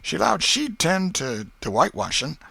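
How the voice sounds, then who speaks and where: A man's voice: a little high, big pitch swings, moderate pace, gravelly, bright, forceful tone, husky, no echo, voice pushed up, full character voice. A man voicing a hesitant, obedient young servant boy with a Southern American accent and rural dialect in a storybook. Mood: reluctant, anxious. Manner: rural dialect, anxious refusing.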